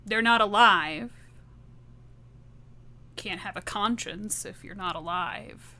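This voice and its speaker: A woman's voice: medium pitch, slow pace, sharp tone. A woman playing a bitter, distrustful ex-wife turned monster hunter in a tabletop game, speaking insistently. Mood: bitter, hurt.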